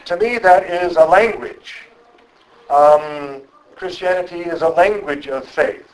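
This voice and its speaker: A middle-aged man giving a reflective public lecture, speaking in a measured, thoughtful way and often pausing on um and uh. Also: fairly high pitch, poor audio.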